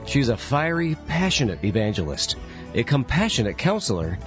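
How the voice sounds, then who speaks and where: A male radio host reads a scripted show opening. His delivery swings up and down with animated energy. A clear music bed plays beneath his voice. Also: mid-range voice.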